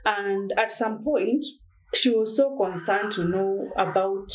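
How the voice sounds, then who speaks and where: Young woman telling her story in an interview, earnest and halting, lots of restarts, middle pitch. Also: low-quality recording. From Kenya and Uganda. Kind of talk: personal testimony in conversation.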